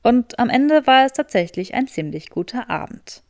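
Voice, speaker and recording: A woman's voice: a little high, big pitch swings, moderate pace, vocal fry, a little mellow, no echo. Woman narrating fiction, dry close sound. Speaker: audiobook narrator.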